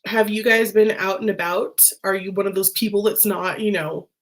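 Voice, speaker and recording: A woman's voice: mid-range voice, steady tone, moderate pace. A woman vlogger chatting casually to her viewers, her voice nasal and congested as she recovers from an illness, rambling with fillers and small laughs. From United States. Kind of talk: casual chat to camera.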